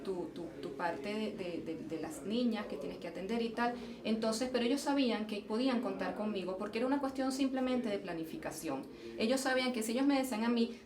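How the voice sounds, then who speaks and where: A Venezuelan woman, a geological engineer, answering interview questions in a thoughtful way, often restarting and repeating words. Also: a little high. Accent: Venezuelan Spanish. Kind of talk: conversational interview. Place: event venue, indoors. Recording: roomy, distant mic, faint noise.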